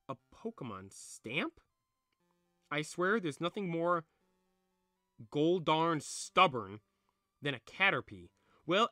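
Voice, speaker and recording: A man's voice: a little high, pushed and bright, no room sound, clean studio sound, exaggerated voice. A man voicing a fussy, pompous old man who leads a fan club, gushing over his visitor.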